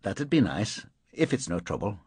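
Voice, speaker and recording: A man's voice: fairly low pitch, very animated, normal speed, a little mellow, husky, no echo, studio quality, heavily acted. A man voicing a volatile, blunt, aggressive and desperate man in an audiobook. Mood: awkward, concerned. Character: volatile, foul-mouthed man, angry and desperate.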